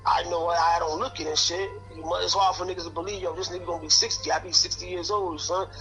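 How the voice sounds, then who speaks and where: A man in his late fifties from Connecticut, talking in a loose, streetwise way about life inside. His voice sits a little high and sounds bright and forceful. Faint music plays underneath. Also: steady tone, fast, poor audio. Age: 50s. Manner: streetwise, fillers "man" and "son" constantly. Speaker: interview guest. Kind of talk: casual prison storytelling.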